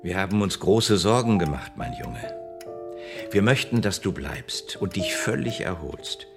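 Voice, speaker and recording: Ein Schauspieler spielt in einem Hörspiel einen besorgten, würdevollen alten Vater, der bedächtig und fürsorglich spricht. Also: male voice, deep voice, very animated, a little slow, vocal fry, music in the background, exaggerated voice. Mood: caring, solemn. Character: concerned, gentle, dignified old father. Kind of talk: radio drama dialogue.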